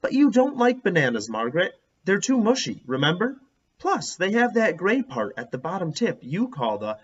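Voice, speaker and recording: Man reading as an excitable, inquisitive young schoolgirl. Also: sits high, moderate pace, slightly rough, voice pushed up, full character voice. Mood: objecting. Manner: eager, questioning. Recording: dull, lo-fi sound.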